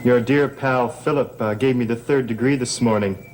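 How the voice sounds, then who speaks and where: A man's voice: mid-range voice, steady tone, normal speed, faint music behind, close to an everyday voice, rough recording. An actor playing a sardonic, suspicious man in a gothic soap opera, sarcastic and insinuating. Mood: sardonic, accusing. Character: sardonic, suspicious man needling a woman for secrets. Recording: old TV soundtrack, faint noise.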